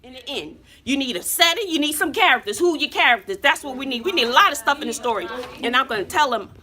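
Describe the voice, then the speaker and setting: A woman's voice: sits high, animated, quick pace, bright, forceful tone. An American woman playing a sassy, folksy, wrinkled old woman who tells stories to students in an emphatic, theatrical way, repeating herself for drama. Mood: sassy, lively. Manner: emphatic, dramatic, repeats phrases, addresses listeners as sugar. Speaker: teacher telling her life story to students. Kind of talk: theatrical classroom storytelling. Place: classroom with students present.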